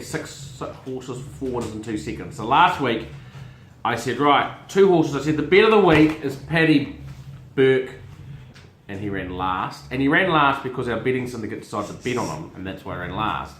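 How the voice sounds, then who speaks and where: A man's voice: medium pitch, expressive, normal speed, fairly bright tone, a little hiss or hum. A middle-aged man, hosting a casual family chat show about horse racing, chatty and prone to repeating words while he searches for them. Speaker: racing show host and syndicate manager. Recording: roomy echo.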